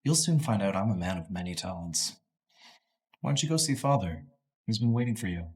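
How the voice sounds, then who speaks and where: A man's voice: on the low side, expressive, a little fast, close to an everyday voice. An actor playing a scheming young man who wants to inherit his father's company, smug and sneering. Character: scheming smug young male heir, the brother. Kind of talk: melodrama acting.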